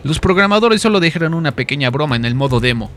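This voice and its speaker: A man's voice: fairly high pitch, lively intonation, fast talker, a bit bright, voice pushed up. A man playing a smug, showy salesman presenting weapons to a crowd in a narrated comic story. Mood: nervous bluffing. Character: slick male arms-show salesman, showy, smug presenter. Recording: music behind the voice.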